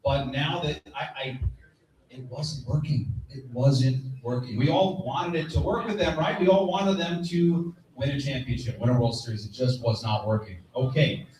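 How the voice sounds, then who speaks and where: Man, mid-pitched, sports panelist, emphatic, repetitive phrasing, live stage talk, heavily reverberant room. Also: moderate pace, darkish tone. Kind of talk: lively live panel discussion about baseball. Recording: very echoey room, distant from mic.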